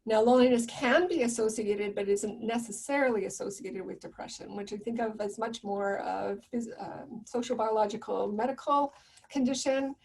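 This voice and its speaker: A soft-spoken woman giving a gentle, reflective webinar presentation about loneliness over a video call. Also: medium pitch, breathy edge, distant mic, rough recording.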